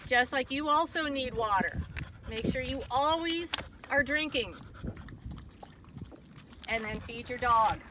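A woman's voice: high voice, takes it slowly, pauses often. An American woman giving informal safety tips to camera outdoors. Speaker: aquatics staffer giving dog hiking tips. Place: outdoors in open desert by a trailhead and parking lot. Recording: far from the mic, faint outdoor noise.

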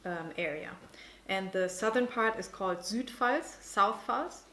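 A woman in her thirties being interviewed as a winemaker, explaining informally and pausing to think as she goes. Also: medium pitch, a bit bright, a little hiss or hum. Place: indoor tasting room with wooden table. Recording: roomy, echoing indoor sound.

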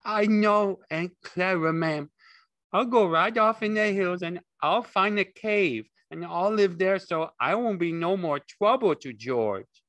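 High-voiced childlike simple country fellow. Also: male voice, unhurried, fairly bright tone, no room sound. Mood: mournful, pleading. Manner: naive, uneducated rural speech with bad grammar. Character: big childlike simple man, pleading and easily upset.

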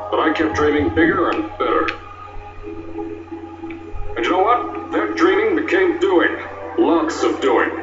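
An actor playing a brash middle-aged male company founder in a game, talking in a boastful, booming salesman pitch. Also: recorded from a distance, lo-fi sound, close to an everyday voice. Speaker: game character in a fan-made mod. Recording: roomy game audio with loud music.

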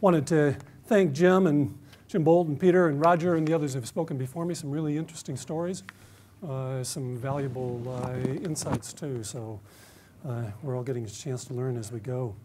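A man's voice: medium pitch, normal speed, a little mellow, a little hiss or hum. A man in his fifties from Detroit with an American accent, giving a conference presentation with many fillers and restarts. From Detroit, USA. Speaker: university researcher giving a conference talk. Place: conference stage with dark curtains.

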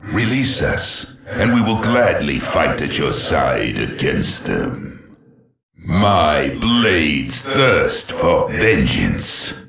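Sci-fi game alien assassin: solemn, menacing, very slow, gravel-throated, with otherworldly echo. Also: male voice, huge pitch swings, fairly bright tone, exaggerated voice. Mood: solemn, vengeful. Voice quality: very deep, gravelly. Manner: solemn, ominous, slow and deliberate delivery. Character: menacing alien dark warrior, solemn stalker of shadows. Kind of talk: dramatic video game unit lines. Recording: echoing game voice effect.

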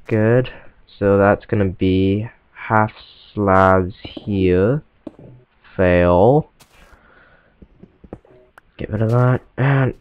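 A teenage boy who sounds stuffed up from a cold, rambling apologetically and poking fun at himself while commenting on his gameplay. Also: fairly low pitch. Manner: rambling, self-deprecating and apologetic. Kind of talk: casual let's-play commentary. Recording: lo-fi recording with faint game music.

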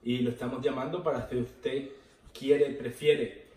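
A man voicing a polite, apologetic Galician airline agent on a prank call, formal and halting. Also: medium pitch, little pitch movement, a little mellow, natural voice. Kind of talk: improvised prank phone call. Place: living room, indoors. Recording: roomy, far from the mic.

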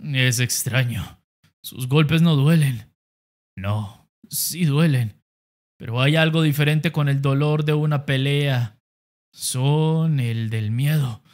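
A reader voicing a stubborn young male boxer, speaking low and steady. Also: lightly acted. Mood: pained. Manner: low, slow, steady inner monologue.

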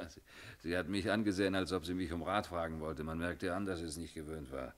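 A man's voice: deep voice, steady tone, a little fast, close to an everyday voice. An actor playing a guarded, cheeky barman under police questioning in a German radio crime drama, explaining in short bursts.

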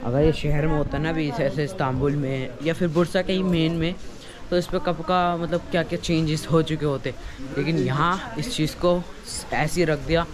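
Young man, travel vlogger, chatty walking tour, slightly high voice, street crowd noise. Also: little pitch movement, normal speed. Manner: chatty, addresses viewers as friends, mixes English words in. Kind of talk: casual walking-tour vlog. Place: outdoors in a village street.

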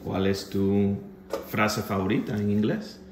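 Man off-camera posing quick personal questions, casual, in a reverberant kitchen with slight noise. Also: fairly low pitch, fairly even intonation. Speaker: interviewer reading questions. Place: home kitchen. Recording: echoey home kitchen, faint noise.

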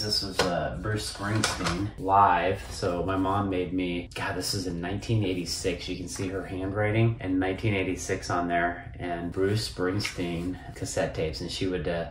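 A distant, echoey and noisy home-office recording of an American man in his fifties. He talks casually to the camera like a vlogger. His delivery is conversational and nostalgic, wandering from thought to thought. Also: low-pitched. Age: 50s. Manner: rambling, conversational. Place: small home office, indoors.

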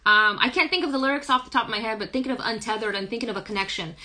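A woman in her thirties with a hoarse, croaky voice, chatting casually through a live-stream reading in a small wood-paneled room, recorded on a phone. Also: on the high side, very fast, sharp tone. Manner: chatty, rambling, hums song lyrics. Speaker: spiritual reader. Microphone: phone camera at arm's length.

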